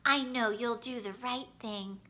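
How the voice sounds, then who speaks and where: A woman voicing a steady, principled, trusting father in a children's storybook. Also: on the high side, measured pace. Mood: gently serious.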